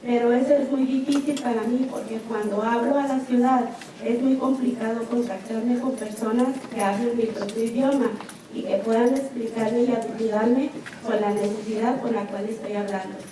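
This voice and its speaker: A woman's voice: fairly high pitch, fairly even intonation, normal speed. A woman, a chaplain leader, giving earnest prepared public testimony at a city hearing with slight hesitations. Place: public meeting hall. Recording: very echoey hall, distant mic, noise.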